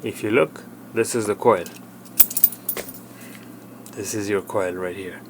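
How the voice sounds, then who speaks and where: Male tutorial presenter, lower register, halting and plain-spoken hands-on walkthrough, faint background noise. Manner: halting, plain step-by-step explaining. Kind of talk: hands-on product demonstration, unscripted.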